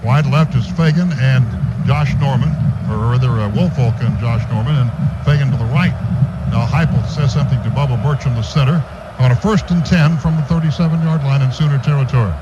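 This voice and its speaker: A man's voice: medium pitch, fairly quick. An American man calling live football play-by-play on the radio, stretching words as plays develop. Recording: dull radio broadcast, clearly noisy.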